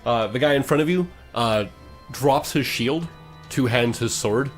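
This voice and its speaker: A man's voice: mid-range voice, a little slow. Male game master, tabletop role-play narration, mid-range voice, background music.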